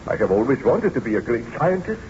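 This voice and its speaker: An actor in an old-time radio drama playing an obsessed, ambitious young scientist, speaking in formal, theatrical, grandiose phrases. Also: male voice, mid-range voice, sing-song, fast, lightly acted. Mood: fervent, pleading. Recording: muffled, noisy vintage radio recording.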